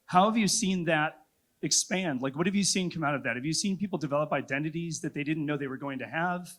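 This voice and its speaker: A man's voice: a little high, quick pace, fairly bright tone. A man moderating a conference panel, talking in a reflective, loosely rambling way with filler words.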